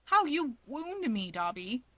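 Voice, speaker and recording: A woman's voice: sits high, sing-song, takes it slowly, a bit bright, no room sound, full character voice. A woman voicing a playful, theatrical young man in a story reading, teasing and melodramatic. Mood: mock wounded. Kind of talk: expressive fan fiction character reading.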